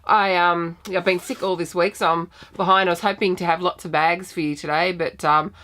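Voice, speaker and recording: An Australian woman hosting a knitting podcast, a bit croaky from a sinus infection, chatting in a rambling, friendly way with many ums. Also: on the low side, fairly even intonation, normal speed, a bit bright. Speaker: podcast host. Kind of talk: casual knitting podcast chat.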